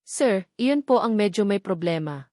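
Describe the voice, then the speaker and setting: A woman's voice: medium pitch, animated, a little slow, darkish tone, no echo, studio quality, close to an everyday voice. A woman with a Filipino accent voicing a deferential, hesitant office staffer in a narrated story. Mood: apologetic. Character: deferential office staffer reporting to his boss.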